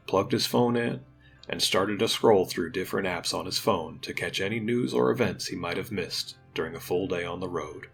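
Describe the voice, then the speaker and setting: A man narrates a dramatic audio story from a script. His voice sits on the low side with plenty of fry in it. Soft music runs behind the narration.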